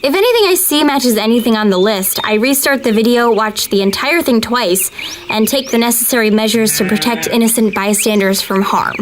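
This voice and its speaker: An actress playing an earnest young female government video analyst who speaks with calm customer-service politeness. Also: on the high side, fairly quick, slight background noise, close to an everyday voice. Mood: polite. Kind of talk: audio drama acting.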